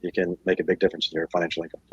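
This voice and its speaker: Fast, blunt low-voiced man. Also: flat delivery, breathy edge, no echo. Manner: blunt, matter-of-fact. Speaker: trader, panelist. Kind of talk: conversational panel talk. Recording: dull, dry lo-fi line.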